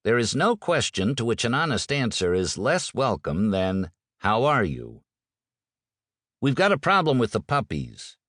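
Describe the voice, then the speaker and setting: A man's voice: medium pitch, huge pitch swings, a little slow, fairly bright tone, dry recording, clean studio sound. A man reading a first-person audiobook in a wry, conversational manner. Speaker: audiobook narrator.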